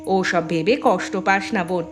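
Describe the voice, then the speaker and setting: A woman's voice: on the low side, unhurried, over music, voice pushed down, natural voice. Woman acting as protective elder village woman, decisive, reassuring, folk-tale storytelling. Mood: firm, comforting. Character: resolute protective eldest daughter-in-law, a village woman. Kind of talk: Bengali folk tale dramatization.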